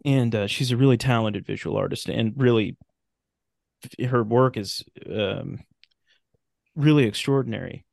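A man's voice: medium pitch, a little slow, vocal fry, pauses often, a little mellow, dry recording, studio quality. A man chatting casually as a podcast guest, blunt and emphatic, with slangy, profane turns of phrase and repeated words for stress.

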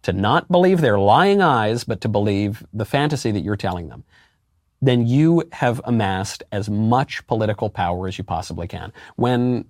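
A man with a deep voice, a guest in a political interview, arguing emphatically with rhetorical questions and sudden jumps in pitch on key words. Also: lively intonation, moderate pace, darkish tone, dry recording, studio quality. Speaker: interview guest, conservative commentator.

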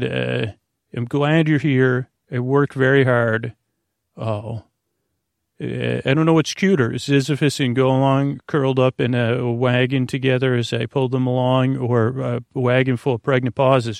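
A man with a creaky voice hosts a bedtime podcast in a soothing, rambling monologue, haltingly wandering into tangents and correcting himself. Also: mid-range voice, dry recording, studio quality. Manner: meandering, halting, full of tangents and self-corrections; soothing, lulling. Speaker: podcast host.

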